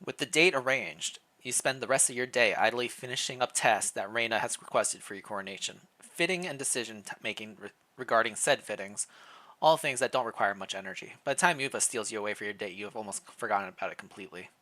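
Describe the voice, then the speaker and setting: A man hosting a livestream, switching between casual, playful chat and reading fan fiction narration aloud, often thinking out loud. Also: on the low side, quick pace.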